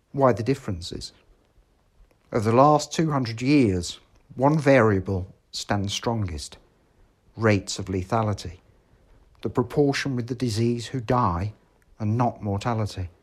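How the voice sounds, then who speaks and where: A man reading a history article aloud in a formal, measured manner. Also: medium pitch. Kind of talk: scripted narration, reading an essay.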